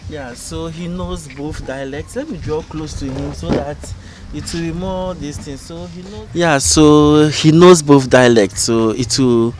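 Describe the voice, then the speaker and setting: A man with a Ghanaian accent hosting a casual on-camera chat, energetic and loud as he quizzes his guest. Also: on the high side, measured pace, hardly any pauses, crowd noise. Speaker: show host.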